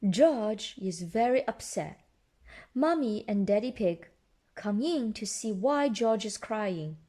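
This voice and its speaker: A woman reading a children's story aloud in an animated style, explaining simply as she goes. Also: mid-range voice, slow.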